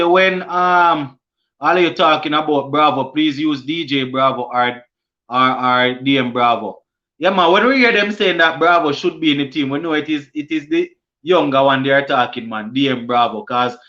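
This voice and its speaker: A Jamaican man hosting a casual cricket live stream, reading viewer comments aloud and answering them, often halting and restarting mid-sentence. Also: fairly high pitch, bright, forceful tone, lo-fi sound. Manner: halting, many restarts and fillers.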